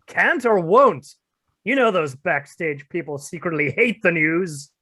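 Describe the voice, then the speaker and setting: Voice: medium pitch, expressive, unhurried, sharp tone. A self-important news anchor, acted out for a comic radio play. The delivery is melodramatic and babbling, with key words drawn out for emphasis. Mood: impatient, melodramatic.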